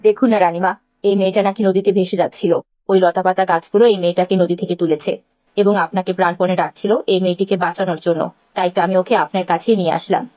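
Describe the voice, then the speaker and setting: A woman voicing an anxious, deferential attendant of a queen in an animated fairy tale, on a thin, phone-like recording. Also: on the low side, quick pace, no room sound, lightly acted. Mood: urgent, pleading. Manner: urgent, deferential. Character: anxious, pleading young female attendant of a queen. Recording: thin, phone-like, very dry sound.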